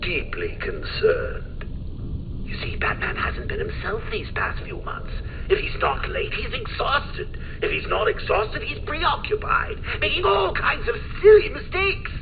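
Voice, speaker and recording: A man's voice: very high-pitched, huge pitch swings, measured pace, creaky, phone-quality sound, noisy background, exaggerated voice. A man voicing a manic, mocking male clown villain in a video game, cackling and swinging his delivery wildly. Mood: taunting. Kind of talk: game voice lines.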